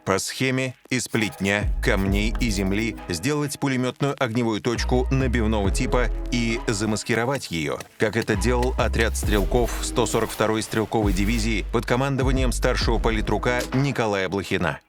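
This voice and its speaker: A man's voice: lowish voice, moderate pace, few pauses. A man reading scripted, dramatic narration as a voice-over. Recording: music under the voice. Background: clear music.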